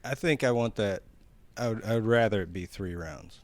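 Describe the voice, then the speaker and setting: A man's voice: on the low side, moderate pace, dry recording. A man co-hosting a podcast, chatting informally and bluntly. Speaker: podcast co-host. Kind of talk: casual podcast chat.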